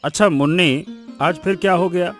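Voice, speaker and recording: A man voicing a weary, exasperated village farmer husband in an animated story, pleading in a melodramatic way. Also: high-pitched, moderate pace, soft background music.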